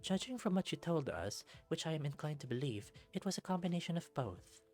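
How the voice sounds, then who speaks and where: A man voicing a stern, formal female bear superhero in a visual novel, speaking in measured, deliberate phrases. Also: on the high side, big pitch swings, a little mellow, soft background music, voice pushed up. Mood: stern, detached.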